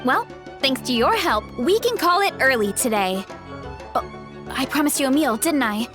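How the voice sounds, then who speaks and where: A woman voicing a sweet, modest young farm woman in a video game, speaking in a gentle, friendly, reassuring way. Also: high voice, lively intonation, moderate pace. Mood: grateful, modest. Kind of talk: animated video game voice acting. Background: soft background music.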